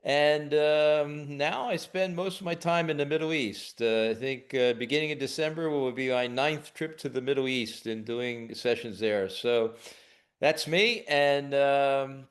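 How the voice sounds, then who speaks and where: A middle-aged American man, a leadership expert, answering questions in a webinar interview in a relaxed, conversational way with frequent restarts. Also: medium pitch. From United States.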